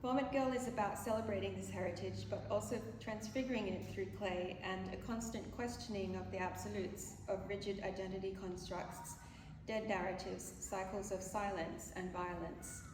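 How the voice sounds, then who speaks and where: A woman's voice: mid-range voice, fairly even intonation. Reflective woman speaking in an echoey gallery. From Australia. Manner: measured, reflective, some hesitant fillers. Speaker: artist speaking at an exhibition opening. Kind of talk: gallery opening speech, partly read aloud. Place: gallery room. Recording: distant mic in a roomy gallery with background noise.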